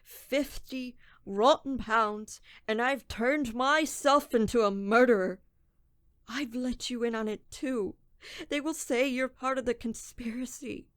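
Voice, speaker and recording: A woman's voice: on the high side, dry recording, studio quality. A woman playing a guilt-ridden, tormented young thief in a radio drama, acting scenes and narrating in the first person. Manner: tormented, brooding, deliberate.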